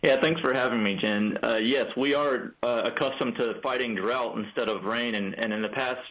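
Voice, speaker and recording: An American man answering as an agency spokesman in a live phone news interview, measured and halting, with repeated false starts. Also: mid-range voice, fairly bright tone, low-quality recording.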